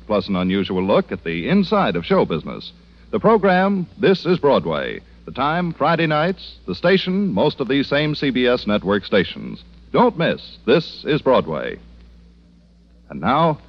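A man announcing in the polished, scripted style of mid-century American network radio. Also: mid-range voice. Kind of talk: 1940s-50s radio announcing, scripted promo. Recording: dull vintage radio broadcast.

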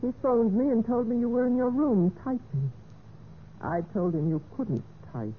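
A woman's voice: a little high, lively intonation, lightly acted. Lady acting a betrayed wife, eerily composed and wistful, theatrical old time radio, thin sound, bit of noise. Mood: cool, matter-of-fact. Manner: measured, deliberate, theatrical delivery. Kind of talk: 1940s-50s radio mystery acting. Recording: tinny, noisy old radio recording.

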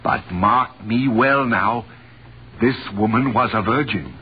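An actor playing a boastful, long-winded, portly older physician in 1930s radio drama style. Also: male voice, mid-range voice, slow, natural voice. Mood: dramatic storytelling. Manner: pompous, wordy, self-important. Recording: muffled noisy 1930s radio recording.

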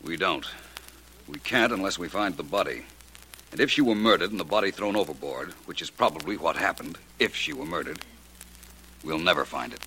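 Cool, authoritative gentleman sleuth, very low bright voice, vintage radio detective serial. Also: sing-song, gravelly, heavily acted. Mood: businesslike. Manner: polished, measured, probing questioning. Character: cool, courteous, authoritative male private detective. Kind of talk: 1940s radio detective acting. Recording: noisy vintage 1940s radio recording.

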